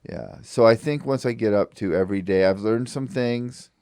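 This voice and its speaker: Very deep-voiced deadpan man. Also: smooth, no room sound, studio quality. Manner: flat, halting delivery with many fillers. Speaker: podcast co-host. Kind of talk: deadpan comedy podcast banter, mock ad read.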